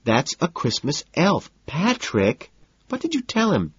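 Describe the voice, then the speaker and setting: A man's voice: fairly high pitch, huge pitch swings, unhurried, breathy edge, no echo, lo-fi sound, voice pushed up, full character voice. A man voicing a whiny, fretful young society woman in an audiobook story, with a plaintive, sighing manner. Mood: whiny, upset.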